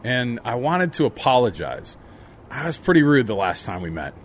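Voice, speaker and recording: A man's voice: mid-range voice, very animated, moderate pace, a little hiss or hum, close to an everyday voice. An actor playing a humble, friendly man recovering from life on the streets, in an audio drama. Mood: warm, apologetic.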